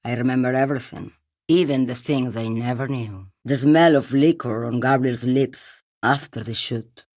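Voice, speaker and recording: Deep-voiced, haunted female long-haul driver. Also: a little mellow, dry recording, studio quality. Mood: haunted reverie. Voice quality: very deep, androgynous for a woman. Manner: slow-musing, cryptic, poetic. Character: weathered, world-weary woman long-haul driver, dreamy and haunted. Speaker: video game voice actor. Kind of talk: dramatic game character monologue.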